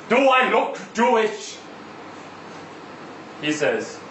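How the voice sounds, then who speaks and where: Man acting a high-pitched, weary truck driver, echoey room. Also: a bit bright, exaggerated voice. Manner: halting, rambling, foul-mouthed, repeatedly seeking agreement. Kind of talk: live dramatic monologue reading of a short story. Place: live literary event in an indoor venue. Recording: echoey room, distant mic, faint noise.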